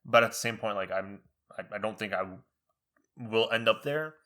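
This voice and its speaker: A young man with a somewhat low, bright, forceful voice, co-hosting a podcast in easygoing chat. He rambles, stumbles over restarts and drops loose jokes. His first language is Arabic. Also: moderate pace. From United States. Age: young adult. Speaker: podcast co-host. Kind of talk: casual chat.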